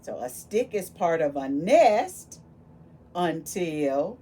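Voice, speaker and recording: Animated woman reading aloud to children. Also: lowish voice, slow pace, breathy edge. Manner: animated, exclaiming with gasps and wonder, addressing young children. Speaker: volunteer children's read-aloud storyteller. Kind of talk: expressive picture-book read-aloud with questions to children.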